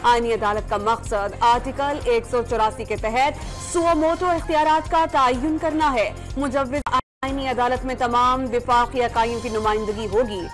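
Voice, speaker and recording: A woman with a Pakistani Urdu accent reading scripted TV news headlines in a crisp, formal newsreader manner. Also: a little high, steady tone, moderate pace, hardly any pauses. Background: news music bed.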